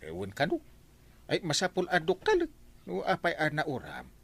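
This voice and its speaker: A man's voice: on the high side, wildly swooping pitch, normal speed, creaky, a bit bright, full character voice. A man playing a whining, theatrical village captain in an Ilocano radio comedy drama. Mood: explaining. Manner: wailing, exclamatory, theatrical. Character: whining village captain, comic complainer fond of liquor.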